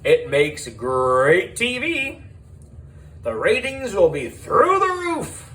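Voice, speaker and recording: Storybook female presenter man acts her out: brash, breezy, announcer-like, highly animated. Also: takes it slowly, slight background noise, full character voice. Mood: breezy, callous.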